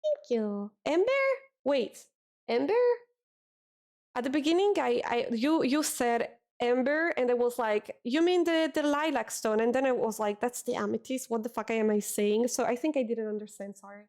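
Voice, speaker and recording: Italian girl streaming, chatty, repeats herself a lot, voice a bit on the high side. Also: lively intonation, moderate pace, a little mellow. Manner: chatty, repeats words, reads chat aloud. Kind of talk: casual chat with a stream audience.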